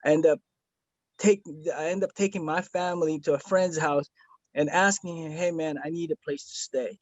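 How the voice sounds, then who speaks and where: A man's voice: a little high, steady tone, moderate pace, no room sound, muffled recording. A man from the Philippines giving an earnest, rambling testimonial over a video call, with many fillers and restarts. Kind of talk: casual video-call chat.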